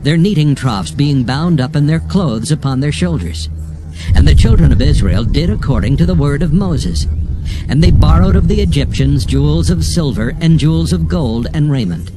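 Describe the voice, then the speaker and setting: A man's voice: fairly high pitch, lively intonation, moderate pace, noisy background. A man narrating dramatized scripture in a solemn, declamatory manner, with music behind him. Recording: music bed under narration.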